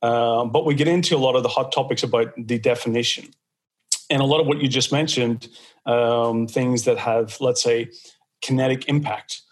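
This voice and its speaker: A man's voice: medium pitch, steady tone, normal speed. A man hosting a podcast discussion, talking in a rambling way with many fillers and restarts. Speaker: podcast host.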